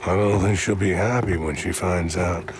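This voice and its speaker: A man's voice: deep voice, steady tone, normal speed, noisy background. A man acting in a video game as a menacing Old West hard man, dry, sardonic and threatening. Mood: cold, sardonic.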